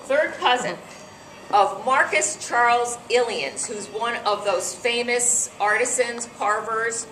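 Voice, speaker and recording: A middle-aged American woman with a loud voice, hosting an event and giving an informal, chatty talk to a gathering of guests. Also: on the high side, takes it slowly. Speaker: event host and board director. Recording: echoey room from far off, faint music.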